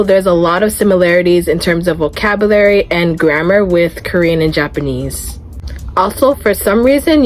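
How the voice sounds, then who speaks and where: Twenty-something woman vlogging, kinda low voice, chatty and earnest, soft music behind. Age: 20s. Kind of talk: casual talking-to-camera vlog.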